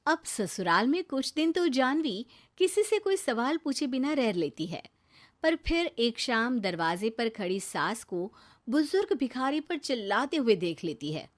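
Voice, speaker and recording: A woman's voice: a little high, sing-song, unhurried. A woman reading scripted narration for a moral story as its narrator.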